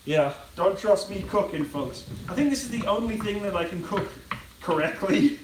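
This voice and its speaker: A man's voice: high voice, lively intonation, moderate pace, distant mic, slight background noise. British man chatting while cooking, echoey. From United Kingdom. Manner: chatty, reads out viewer comments and answers them. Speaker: channel host. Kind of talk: casual live-stream cooking chat. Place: home kitchen.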